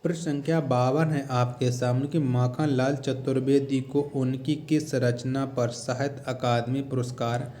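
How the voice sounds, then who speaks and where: A man's voice: mid-range voice, flat delivery, measured pace, hardly any pauses, mellow. A man teaching an exam-prep quiz lesson in an explanatory, repetitive way, posing short check questions and repeating answers.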